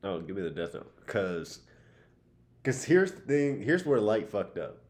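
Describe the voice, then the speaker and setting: A young American man with a lowish voice that swings around in pitch. He chats as a podcast co-host, casual and slangy, putting his opinions across in an animated way. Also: a little slow.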